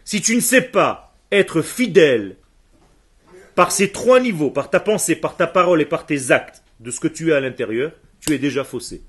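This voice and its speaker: A man's voice: on the high side, lively intonation, breathy edge. A man who grew up speaking Hebrew, teaching a class emphatically and firing questions at his students. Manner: emphatic, asks the room questions, answers students quickly. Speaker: religious teacher.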